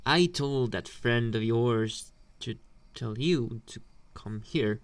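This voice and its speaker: Delinquent school bully played by a man, unhurried and teasing, mid-range male voice, soft-hearted underneath, intimate ASMR boyfriend roleplay. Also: mid-range voice, close to an everyday voice. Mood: softer, hesitant. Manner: taunting, drawn-out, questioning hums.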